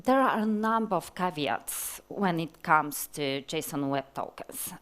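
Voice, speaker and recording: A woman in her forties with a Polish accent, giving a technical conference talk from a large hall stage, speaking slowly and deliberately with many fillers and restarts. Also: deep voice, expressive, fairly bright tone.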